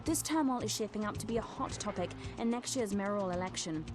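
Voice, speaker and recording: An actress playing a television news anchor, reading scripted bulletins in a formal, composed way. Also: female voice, mid-range voice, expressive, a little fast, over music, close to an everyday voice.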